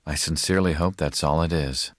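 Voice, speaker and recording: Very deep-voiced, earnest male rebel leader. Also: fairly even intonation, a little fast, no echo, studio quality. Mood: grave, suspicious. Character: grave male rebel leader, sincere and troubled. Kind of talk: video game cutscene acting.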